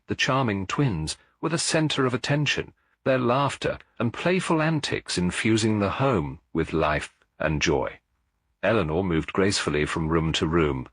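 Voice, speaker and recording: A man reading a cozy mystery story as a scripted audiobook, in a measured, literary storytelling manner. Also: lowish voice, fairly bright tone, husky, no room sound, studio quality. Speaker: narrator.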